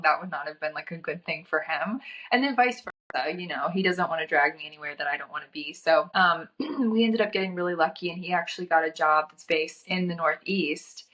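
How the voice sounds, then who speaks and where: A young woman from North Carolina, a guest chatting casually, with a slightly scratchy, raspy throat from a cold and a chatty way of talking full of "like". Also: on the low side, a bit bright. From North Carolina, USA. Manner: chatty, frequent "like" filler. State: getting over a cold. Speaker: fashion model guest. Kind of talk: casual chat.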